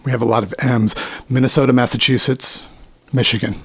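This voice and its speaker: A middle-aged man with a faint Midwestern accent, co-hosting a casual podcast and talking in a rambling, self-deprecating way with halting restarts. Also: mid-range voice, fairly even intonation, a bit bright, husky. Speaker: podcast co-host, musician. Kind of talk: casual chat.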